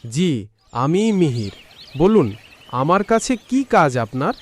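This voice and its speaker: An actor playing a humble, honest, hardworking blacksmith in a cartoon, speaking earnestly and politely. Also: male voice, on the high side, very animated, unhurried. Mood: calm, polite. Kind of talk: cartoon voice acting.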